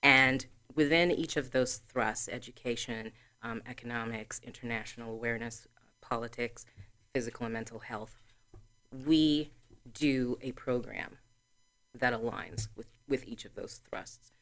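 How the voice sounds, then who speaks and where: A woman in her forties from Trinidad, a guest answering interview questions in a measured, explanatory way. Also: very deep voice, steady tone, a bit bright. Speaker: guest, sorority chapter president.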